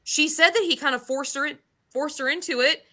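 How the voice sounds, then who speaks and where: A woman's voice: high-pitched, a little fast, bright, forceful tone. A woman in a casual, opinionated book chat, throwing out exasperated rhetorical questions and swearing freely. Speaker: book club podcast host.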